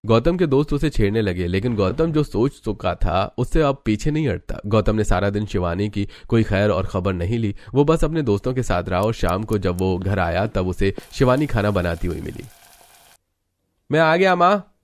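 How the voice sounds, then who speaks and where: A man's voice: on the low side, animated, normal speed, hardly any pauses, a little mellow, dry recording. A man narrating a scripted family drama story as its dramatic storyteller.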